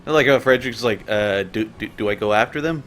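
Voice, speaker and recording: A man's voice: lowish voice, fast talker, a bit bright, slight background noise. A man hosting a game stream with casual, sweary, joking commentary, game music playing behind him. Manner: sweary, mock-quoting. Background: video game music.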